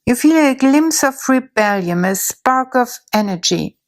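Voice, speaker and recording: A woman narrating a guided imagery story in a slow, soothing way, with long pauses. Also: a little high, animated.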